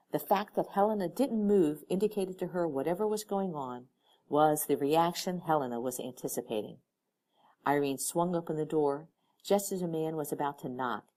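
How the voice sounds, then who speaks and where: A woman narrating a fan fiction audiobook in an expressive storytelling manner. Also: low-pitched. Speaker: audiobook reader. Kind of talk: scripted narration of fan fiction, with character dialogue.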